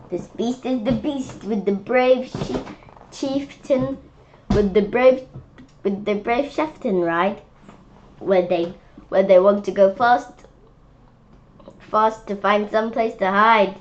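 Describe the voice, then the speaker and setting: Woman, children's read-aloud, slow pace, halting and repetitive phrasing, medium pitch, slightly muffled lo-fi recording. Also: a little hiss or hum. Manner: halting, stumbling over words and repeating phrases. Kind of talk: informal read-aloud of a rhyming picture book.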